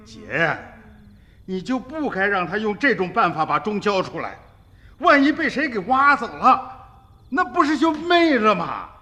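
A man's voice: high-pitched, very animated, measured pace, sharp tone, heavily acted. An actor playing an upright middle-aged rural man in a radio drama, earnest and emphatic, insisting on doing things by the law. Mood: worried. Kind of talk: Chinese radio drama acting.

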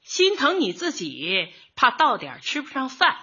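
A woman's voice: high-pitched, wildly swooping pitch, moderate pace, sharp tone, slightly rough, exaggerated voice. A female actor playing a plucky, folksy, stubborn middle-aged housewife in a comic radio drama, with feisty colloquial speech. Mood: sulky defiance. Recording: dull lo-fi audio.

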